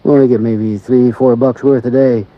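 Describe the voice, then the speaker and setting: A slow-talking country deputy sheriff, beefy and unhurried, drawling in plain rural grammar, played by a man. The voice sits at an ordinary middle pitch. It comes through the dull, muffled sound of a vintage radio drama. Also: fairly even intonation, lightly acted. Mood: laconic. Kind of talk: 1950s radio drama acting. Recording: dull lo-fi old radio recording.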